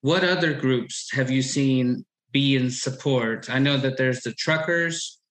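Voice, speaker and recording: A man hosting a casual interview, talking in an animated, rambling way and repeating himself. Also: mid-range voice, a little slow. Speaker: host. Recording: roomy echo.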